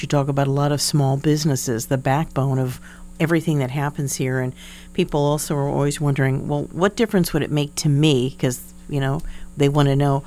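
A woman's voice: low voice, a little mellow. A woman hosting a conversational studio interview, putting questions to a guest. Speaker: radio interviewer. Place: radio studio. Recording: background hum and noise.

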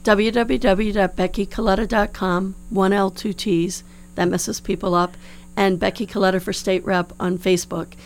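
Woman, 50s, candidate in an interview. Gravelly, slightly hoarse, earnest and explanatory, mid-pitched, with background hum. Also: little pitch movement, moderate pace. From New Orleans, United States. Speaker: political candidate being interviewed. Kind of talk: conversational interview answers. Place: radio studio. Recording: clear background hum and noise.

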